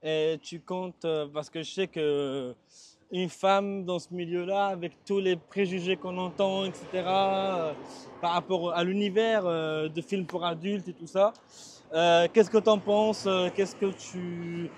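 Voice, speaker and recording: A man's voice: high voice, fairly even intonation, measured pace, a little mellow, a little hiss or hum. A man in his twenties interviewing casually while walking, joking and rambling, repeating himself. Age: 20s.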